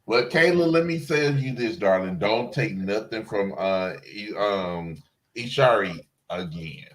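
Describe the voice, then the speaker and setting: A man's voice: lowish voice, lively intonation, unhurried, a bit bright. A man co-hosting a casual review livestream, bantering in a playfully defensive, colloquial way. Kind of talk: casual chat.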